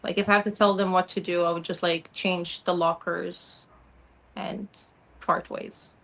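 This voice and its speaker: A woman's voice: on the low side, little pitch movement, unhurried, vocal fry, a bit bright. Woman, blunt and chatty, says "like" constantly, video call. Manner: frank, filler-heavy, lots of "like". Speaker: panel guest. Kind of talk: casual opinionated chat.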